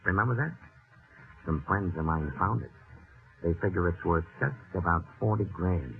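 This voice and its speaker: On a muffled vintage radio drama, a smooth gangster blackmailer. His voice sits low and menacing. He talks in taunting slang, with faint music behind. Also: normal speed. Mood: quietly threatening. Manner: slangy, taunting, calls listener pal. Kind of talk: 1940s radio acting.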